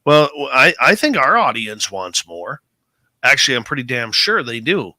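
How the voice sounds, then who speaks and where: Man, radio host, enthusiastic, emphatic, tense bright voice, dry sound. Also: medium pitch, sing-song, normal speed, dry recording. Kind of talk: radio interview.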